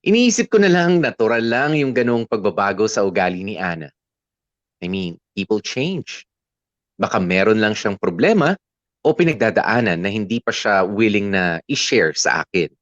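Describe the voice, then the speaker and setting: A man's voice: lowish voice, very animated, normal speed, fairly bright tone, dry recording. A man with a Filipino accent playing a patient, troubled young boyfriend, narrating his love story in a radio drama. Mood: wistful, resigned. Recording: slightly muffled, music underneath. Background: background music.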